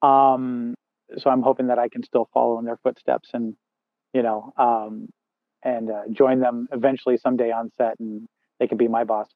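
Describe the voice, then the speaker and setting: A man with a loud, booming voice talks loosely as a podcast guest, looping through fillers and restarts. The sound is thin and phone-like, with no room in it. His pitch sits at an ordinary middle height. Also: level tone, moderate pace, no room sound. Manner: rambling, frequent fillers and restarts. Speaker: podcast guest, first assistant director. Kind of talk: casual interview chat. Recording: dry tinny phone-like sound.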